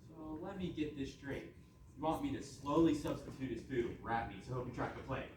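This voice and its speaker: A man's voice: medium pitch, a little fast, darkish tone, a little hiss or hum, lightly acted. A man playing a sly, eager evil henchman and lover in a comic stage play, smarmy and conspiratorial. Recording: very echoey room, distant mic.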